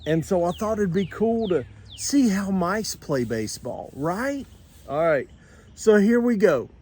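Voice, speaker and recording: An older man reading a children's storybook aloud with expressive, deliberate phrasing. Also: sits high, a little hiss or hum. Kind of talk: animated children's storybook narration.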